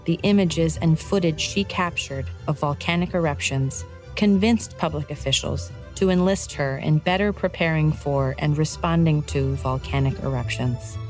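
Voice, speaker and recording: A woman narrator reading a scripted children's story in a measured, clearly articulated storybook delivery. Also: low voice, darkish tone.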